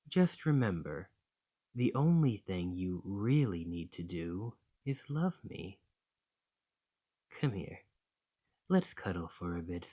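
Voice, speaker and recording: A man's voice: on the low side, mellow, dull audio. A man playing an affectionate, slightly possessive dragon boyfriend in an ASMR romantic roleplay, speaking tenderly and reassuringly. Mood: soothing, affectionate.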